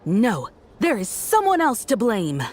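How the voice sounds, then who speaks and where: An excitable female reporter in a video game, speaks in a fairly high, swooping voice. She delivers theatrical commentary and puts dramatic questions to her audience. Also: measured pace, exaggerated voice. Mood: dramatic, probing. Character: excitable female news reporter stirring up the crowd.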